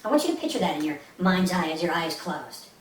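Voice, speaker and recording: Low-voiced woman guiding meditation, roomy and distant. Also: animated, quick pace. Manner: soothing, instructive, repeats short phrases. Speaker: meditation instructor. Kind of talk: guided meditation instruction. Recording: roomy, distant mic with faint hiss.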